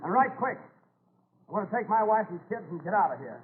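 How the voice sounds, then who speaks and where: An actor playing a beaten, bitter farmer with folksy rural grammar, in 1940s radio drama style. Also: male voice, high-pitched, expressive, fairly quick. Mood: bitter, defeated. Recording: noisy, tinny old radio broadcast.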